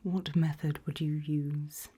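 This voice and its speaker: Woman acting as a polite but sinister hr lady, asmr roleplay, really breathy whisper, takes her time. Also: low voice, little pitch movement, exaggerated voice. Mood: calm, probing. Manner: slow, deliberate, softly spoken. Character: polite, quietly menacing female HR interviewer for evil organisation.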